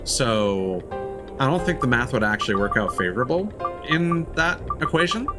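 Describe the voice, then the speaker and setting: A man's voice: mid-range voice, sing-song, normal speed. A man streaming a game in casual chat, reasoning things through aloud in an analytical way. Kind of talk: casual gameplay commentary chat. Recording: game music behind the voice. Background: video game music.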